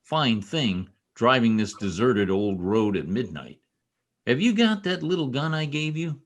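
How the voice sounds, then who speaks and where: A young state trooper in an old-time radio play, acted by a man, gruff and protective. He speaks in a commanding, brusque way, and his mid-pitched voice moves widely up and down. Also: sing-song, unhurried. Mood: brusque, guarded. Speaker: amateur radio play actor. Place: home, over a video call.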